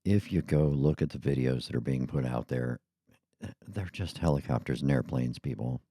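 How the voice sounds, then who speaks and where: A man's voice: rumbling low, moderate pace, mellow, no room sound. A middle-aged man hosting his podcast, chatting casually and drifting off onto tangents in a small home studio. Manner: rambling, chatty, goes off on tangents. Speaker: podcast host. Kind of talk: casual rambling monologue. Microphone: studio microphone.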